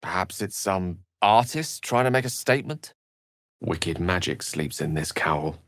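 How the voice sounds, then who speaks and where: A bookish British wizard from a fantasy video game. His voice sits fairly low but moves with very lively intonation, in a close, dry recording. He is articulate and theatrical, with a wry, verbose turn of phrase. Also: unhurried, no echo. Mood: wry, musing. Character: eloquent, wry, bookish male human wizard.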